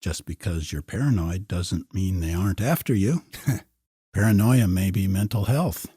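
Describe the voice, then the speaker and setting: A man with a husky voice talking in a wry, musing way as a podcast host. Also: deep voice, moderate pace, dark tone, no echo, clean studio sound. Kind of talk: conversational podcast discussion.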